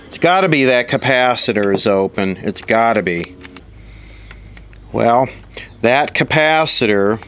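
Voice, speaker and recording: A man's voice: medium pitch, animated, a little slow, pauses often, a bit bright, a little hiss or hum. A man giving casual troubleshooting commentary as he repairs electronics, musing aloud and asking himself questions. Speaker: electronics repair hobbyist presenter.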